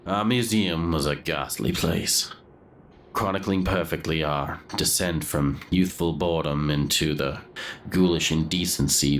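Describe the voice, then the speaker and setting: A man's voice: extremely low pitch, a bit bright, slight background noise, exaggerated voice. An actor playing a doomed grave robber narrating his confession in a gothic horror audio drama, with a grave, florid, brooding manner.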